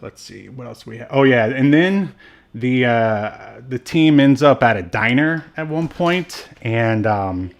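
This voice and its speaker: A male podcast co-host in a relaxed film discussion. His pitch sits in the middle of the male range, and he talks in a halting, rambling manner, feeling his way through each point. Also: creaky, muffled recording. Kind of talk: casual movie discussion chat.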